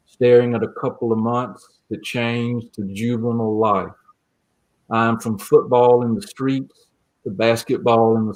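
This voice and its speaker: A man speaking as an interview guest, reflective and measured, with a gentle, earnest manner. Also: mid-range voice, rough recording. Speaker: guest, creative writing teacher. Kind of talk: reflective interview talk and poem reading.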